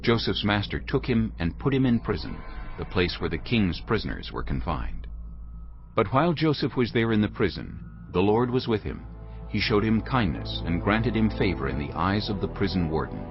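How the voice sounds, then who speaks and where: A man giving a solemn, measured and dramatic narration of scripture, formal in delivery. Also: lowish voice, sing-song, dull audio, over music.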